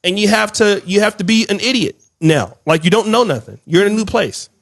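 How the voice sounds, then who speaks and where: A man's voice: high-pitched, expressive, fairly quick, creaky, dry recording, clean studio sound. A man hosting a video channel, speaking directly to viewers in a blunt, emphatic commentary. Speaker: YouTube channel host.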